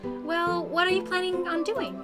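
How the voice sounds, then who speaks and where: A woman voicing a sweet, polite high school girl, gentle and a little teasing, in a visual novel read-aloud over music. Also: fairly even intonation, normal speed. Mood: amused, curious. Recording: music playing under the voice.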